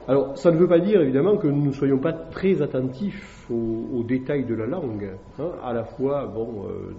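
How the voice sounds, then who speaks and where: A man's voice: mid-range voice, a little hiss or hum. A man, a classics teacher, giving a hesitant public talk to an evening audience, with many fillers and tag questions. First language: French. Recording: echoey dull room recording.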